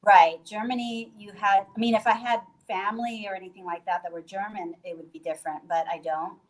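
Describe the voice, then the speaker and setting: A woman from Puerto Rico chats over a video call from a bedroom in a guesthouse. She talks loosely, stopping and restarting with lots of fillers, in a voice of middle pitch. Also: steady tone, brisk, rough recording. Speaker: guest, hostel owner. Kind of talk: casual video call interview.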